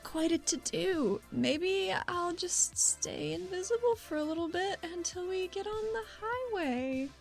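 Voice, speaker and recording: A woman's voice: very high voice, lively intonation, a little slow, gravelly, few pauses. A woman playing a tabletop role-playing game, chatting in an informal, jokey way. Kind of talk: casual game chat. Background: music.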